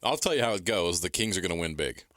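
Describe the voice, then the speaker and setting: A low-pitched man co-hosts casual sports talk. His voice has a forceful edge and sits dry on the microphone. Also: animated, fast talker, no room sound. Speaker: sports radio co-host.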